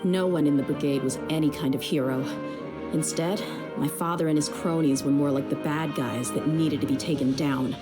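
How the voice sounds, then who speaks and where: A woman's voice: low voice, steady tone, normal speed, close to an everyday voice. A woman playing a tough, easygoing young mercenary in a video game, frank and self-assured. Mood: disdainful. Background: background music.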